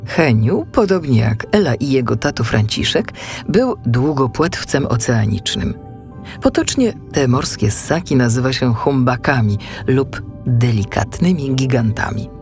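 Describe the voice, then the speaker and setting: Low, gravelly woman narrating a children's story. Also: darkish tone.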